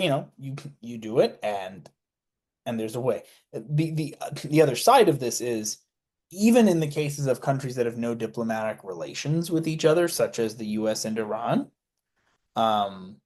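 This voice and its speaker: A man's voice: mid-range voice, a little mellow. A young man, a student guest on a radio interview, talking casually with lots of fillers and restarts. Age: young adult. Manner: rambling, many fillers and restarts. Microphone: studio mic.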